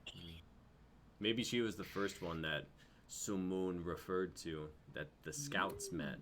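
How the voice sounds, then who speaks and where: A man's voice: low-pitched, huge pitch swings, takes it slowly, a bit bright, slight background noise. A young man hosting a live stream with casual chat. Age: young adult. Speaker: stream host.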